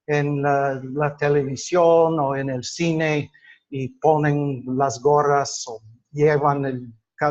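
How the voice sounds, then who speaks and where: A middle-aged or older man from the United States, lecturing to a class in a halting, deliberate way with drawn-out pauses. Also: on the high side. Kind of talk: explanatory class lecture with personal examples. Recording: dull lo-fi sound.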